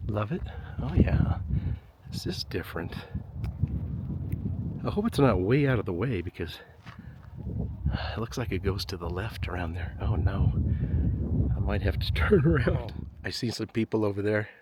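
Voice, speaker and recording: A man's voice: fairly low pitch, animated, normal speed, long pauses, noisy background. A man vlogging as he hikes a desert trail, talking to himself in a chatty, joking way and sighing now and then from the climb. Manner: chatty, self-deprecating, talks to himself. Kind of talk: casual narration while hiking. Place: outdoors on a desert canyon trail.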